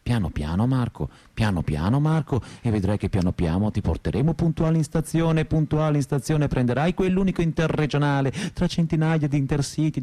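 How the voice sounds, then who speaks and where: A man's voice: fairly high pitch, darkish tone, close to an everyday voice. An actor playing a frustrated, self-mocking perpetual student in his thirties in a theatrical, rhetorical radio monologue. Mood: weary self-mockery. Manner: rhetorical, repetitive, shifting between lofty lyricism and crude bluntness.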